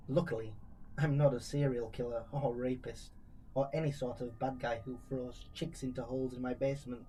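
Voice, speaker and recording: A man's voice: mid-range voice, darkish tone, slight background noise, low-quality recording. A man narrating creepy first-person true stories from a script, as a true crime storyteller.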